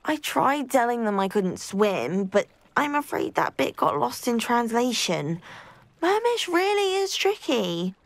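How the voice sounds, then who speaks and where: An earnest anxious young witch, highish voice, really animated, super polite, game cutscene. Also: a little slow. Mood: hesitant. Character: earnest, grateful, anxious young woman with a love of diplomacy. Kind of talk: scripted game acting.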